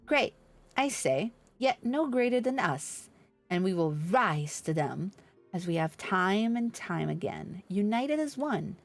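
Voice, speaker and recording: A woman's voice: mid-range voice, big pitch swings, slow, faint music behind, full character voice. A woman reading aloud the lines of an earnest, formal leader of a fantasy order in a video game. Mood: earnest, resolute. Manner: formal, solemn, oratorical.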